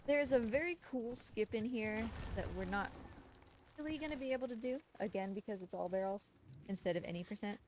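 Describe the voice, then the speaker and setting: A woman's voice: on the high side, a little fast, noisy background. A woman giving informal, explanatory live commentary while she plays a game, talking casually and affectionately. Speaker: speedrunner commentating on own game run.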